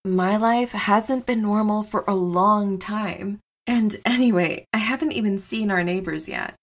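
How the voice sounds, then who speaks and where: An actress playing a nonchalant, teasing wife who is secretly a superstrong heroine, in an audio comedy drama. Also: female voice, mid-range voice, a little slow.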